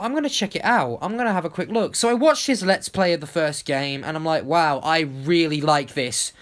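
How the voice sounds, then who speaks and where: A YouTube creator giving a casual, enthusiastic voice-over that rambles along like an informal chat. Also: medium pitch.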